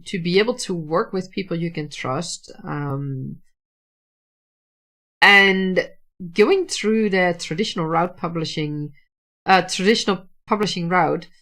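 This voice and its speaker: Slow-talking Dutch woman, low and halting. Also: fairly bright tone. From Netherlands. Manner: halting, many restarts and fillers. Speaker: writer hosting a livestream. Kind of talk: casual livestream talk.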